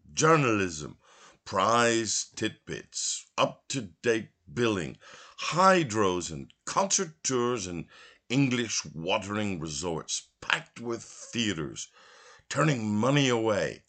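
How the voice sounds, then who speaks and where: A low-voiced man narrates a literary audiobook. His delivery is slow and theatrical, with pitch that swings widely from phrase to phrase. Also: sharp tone, husky. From Belfast, Northern Ireland. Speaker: literary reader.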